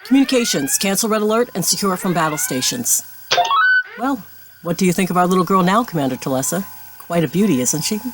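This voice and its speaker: A woman playing a confident, good-humoured starship captain in a science fiction audio drama, brisk and commanding. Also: fairly low pitch, lively intonation, heavy background noise, natural voice. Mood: commanding, assured.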